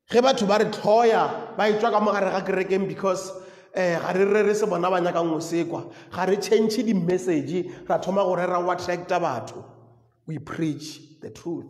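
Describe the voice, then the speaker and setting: High-pitched South African preacher in echoey room. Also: male voice, a little mellow. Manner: emphatic, repeats phrases and pauses for weight. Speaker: teaching pastor. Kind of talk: sermon, code-switching teaching. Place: classroom with chalkboard and brick wall, indoors. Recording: echoey hard-walled room, mic at a distance.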